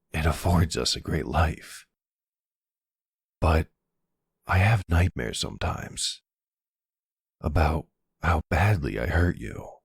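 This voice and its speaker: Male actor as devoted mobster partner for ASMR drama: husky, unhurried, halting, tender, weary older man. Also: dry recording, clean studio sound, exaggerated voice. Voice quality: very deep, gravelly. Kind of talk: ASMR audio roleplay acting.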